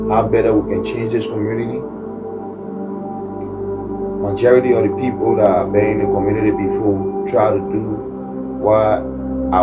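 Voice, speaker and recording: Earnest man over loud music. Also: on the low side, far from the mic. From Liberia. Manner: earnest, halting, preacher-like, repeats himself. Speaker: community activist and musician. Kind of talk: earnest personal testimony.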